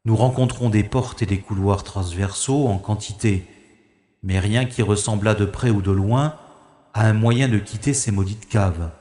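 A man's voice: lowish voice, a little slow, mellow, roomy sound, long reverb tail, close to an everyday voice. An actor playing a composed special agent hero who narrates his own escape in the first person with dry, wry asides. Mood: calm. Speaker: lead character and narrator.

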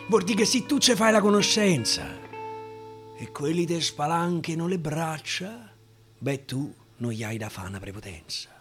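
A man with a Roman accent, an actor reciting a dialect verse story in an expressive, dramatic radio-theatre style. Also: sits high. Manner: expressive, dramatic, swinging from declaiming to hushed asides. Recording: guitar music under the voice. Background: acoustic guitar.

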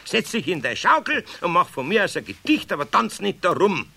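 An actor playing a good-hearted but easily flustered old master carpenter with a Bavarian accent in a children's radio play, muttering gruffly. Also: male voice, sits high, sing-song, fairly quick, pushed and bright, a little hiss or hum, heavily acted. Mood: impatient.

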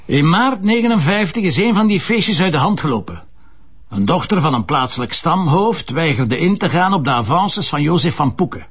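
An actor playing a headstrong, defensive police commissioner in a crime drama, with a Flemish accent and a colloquial, insistent way of talking. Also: male voice, fairly high pitch, lively intonation, normal speed, slightly rough, lo-fi sound. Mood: matter-of-fact. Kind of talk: naturalistic TV crime drama dialogue.

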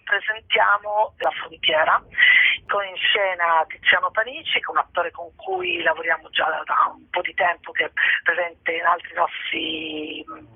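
A woman speaks as an interview guest over a thin, echoey telephone line. Her voice is husky, and she talks spontaneously, hesitating and rephrasing as she looks for the right words. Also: fairly low pitch, fairly even intonation, moderate pace. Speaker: theatre company member interviewed about a show. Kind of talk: spontaneous radio phone interview. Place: on a phone call.

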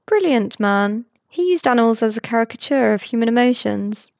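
A woman's voice: fairly high pitch, animated, normal speed, gravelly, no room sound, highs cut off. A woman voicing a nosy, chatty man who keeps asking curious questions. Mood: curious, pleased. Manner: eager, prying, bright.

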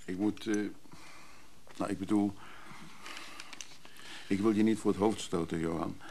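Low, gravelly, weary caring man. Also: fairly even intonation, measured pace, dark tone, slight background noise. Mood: weary, overwhelmed. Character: weary, caring man, protective and somewhat overwhelmed. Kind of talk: radio drama acting, naturalistic dialogue.

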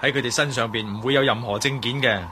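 A man's voice: mid-range voice, fast talker, pushed and bright, close to an everyday voice. A man acting an alert, suspicious, decisive adventurer hero in a Cantonese radio drama, with an urgent, commanding manner. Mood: grave. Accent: Cantonese, Hong Kong. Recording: faint background noise.

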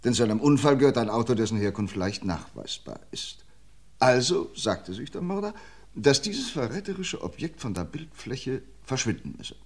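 An actor playing a shrewd, dogged police inspector in an old radio crime play, theatrical and swinging from excited outbursts to quiet menace. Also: male voice, medium pitch. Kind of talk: 1950s-60s radio crime play acting.

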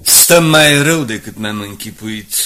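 An actor playing a sceptical, coldly businesslike administrative director in a radio drama, formal and curt. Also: male voice, lowish voice, lively intonation, over music, close to an everyday voice. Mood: stern, critical. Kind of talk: 1980s Romanian radio drama acting. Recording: slightly noisy old radio recording.

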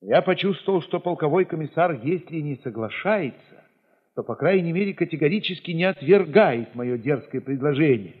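A man narrating an audio play in the first person, expressive and theatrical, with emphatic pauses. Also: on the high side, husky. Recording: muffled, long reverb, music underneath.

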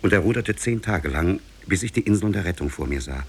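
An actor playing a grave shipwrecked prince, recounting his ordeal in solemn, measured, literary 1950s radio drama style. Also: low voice, animated, darkish tone, slightly rough. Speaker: storytelling character in a radio play. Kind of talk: 1950s German radio drama, literary fairy-tale narration.

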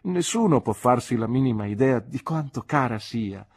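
A man's voice: medium pitch, expressive, moderate pace, pitched above the performer's own voice. A man voicing an earnest young man in love, protesting fervently and stumbling over his words. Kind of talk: audiobook character voice.

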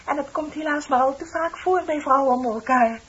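An actress playing a chatty, flattering office secretary in her thirties in a radio play, with a polite, effusive manner. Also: high-pitched, fairly quick. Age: 30s. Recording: dull, noisy old radio recording.

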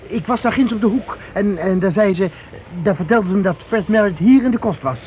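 An actor playing a polite, apologetic male caller who explains himself haltingly in a radio play. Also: high voice, a little mellow, natural voice. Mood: hesitant, apologetic. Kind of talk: Dutch radio play acting. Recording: dull, noisy old radio play recording.

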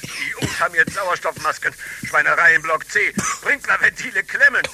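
An actor playing a brusque, hurried colleague reporting from a crisis over a radio link in a satirical radio play, clipped and urgent. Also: male voice, high-pitched, lively intonation, bright, forceful tone, a little hiss or hum, full character voice.

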